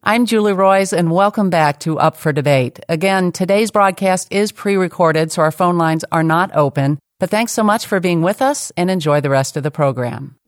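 A woman hosting a talk radio show, with clear, steady presenter delivery in a studio. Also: on the low side, normal speed, few pauses, soft background music. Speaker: radio host. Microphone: studio microphone.

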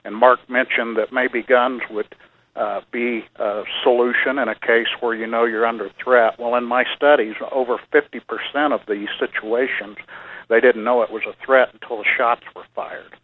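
A man joins a radio talk show by phone, speaking in a measured way with many fillers. His voice sounds thin and phone-filtered. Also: mid-range voice, steady tone. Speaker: church security guest. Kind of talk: radio interview. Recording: phone line.

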